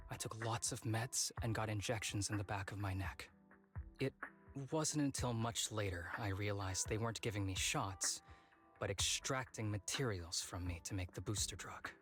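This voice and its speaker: A man's voice: lowish voice, normal speed, a bit bright, lightly acted. A man voicing an easygoing young fighter with a hidden painful past in a video game, breezily downplaying serious things. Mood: quietly bitter. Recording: background music under the voice.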